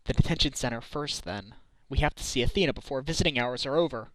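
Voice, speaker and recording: A man's voice: mid-range voice, fast talker, fairly bright tone, dry recording, close to an everyday voice, rough recording. A man playing an earnest, protective lawyer in a video game fandub. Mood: thoughtful.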